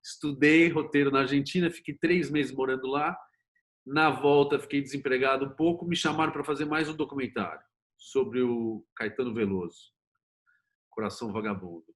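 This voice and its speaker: A man's voice: mid-range voice, fairly even intonation, moderate pace. A middle-aged man with a Brazilian Portuguese accent, telling his own story candidly as an interview guest. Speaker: interview guest, screenwriter and producer.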